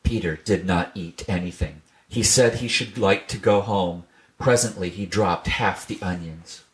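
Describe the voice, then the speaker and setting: A man reading a classic children's storybook aloud in a gentle, measured storytelling manner. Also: low voice, poor audio. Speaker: reader.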